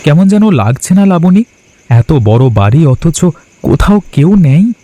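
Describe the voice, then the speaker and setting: A man's voice: on the high side, big pitch swings, measured pace, warm tone, a little hiss or hum. A man voicing an honest, timid husband who warns his greedy wife. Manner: anxious, scolding. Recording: voice over music.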